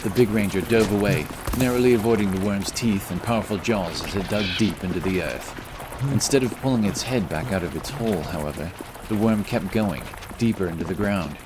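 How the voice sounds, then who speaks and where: A man's voice: sits low, brisk, background noise. A man reading scripted fantasy narration dramatically, with music playing behind him.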